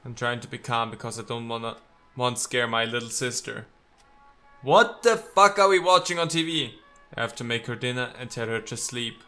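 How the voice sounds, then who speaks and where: A man's voice: mid-range voice, normal speed, sharp tone, soft background music. A man doing let's play commentary, reading game text aloud and reacting with sarcasm and exasperated swearing. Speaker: let's player.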